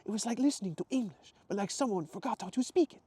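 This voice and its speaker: An effusive Italian traveler acted by a man reading a storybook, earnest and grateful. The voice is raised and swings widely in pitch, with an Italian accent. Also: animated, quick pace, warm tone, slightly rough. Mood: sincere, grateful.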